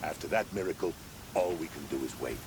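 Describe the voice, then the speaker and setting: A man playing a loyal, gruff, battle-worn fighter in a video game. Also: a bass voice, animated, brisk, background noise. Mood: amazed relief. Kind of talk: dramatic video game acting.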